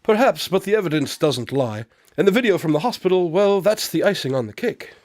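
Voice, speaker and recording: A man playing a shrewd, unflappable detective in a radio drama, measured and knowing as he confronts a suspect. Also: high-pitched, expressive, darkish tone, no echo, studio quality. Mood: accusing, confident. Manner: measured, knowing, coolly accusatory. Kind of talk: amateur radio drama acting.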